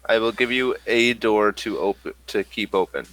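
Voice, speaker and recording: A man as game master, narrating a tabletop game in a relaxed, hesitant way. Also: on the low side, moderate pace, poor audio.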